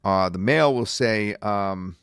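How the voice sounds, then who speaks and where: A man reviewing and demonstrating software in a casual, rambling way with many fillers. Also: lowish voice, a little slow, clear, resonant tone, dry recording, studio quality. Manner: casual, filler-heavy talk. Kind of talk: screen-recorded software demo.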